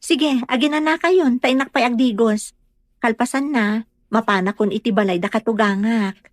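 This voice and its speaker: A woman's voice: on the high side, normal speed, no room sound, clean studio sound, lightly acted. A woman playing a dutiful, meek young daughter-in-law, deferential and obliging, in an Ilocano audio drama. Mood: reassuring.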